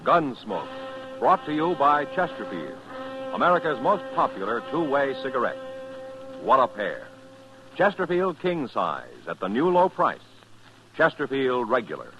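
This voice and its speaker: Animated old-time radio announcer man. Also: mid-range voice, a little slow, pauses often. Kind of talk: dramatic 1950s radio announcing and sponsor reads. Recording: muffled old radio recording, loud music.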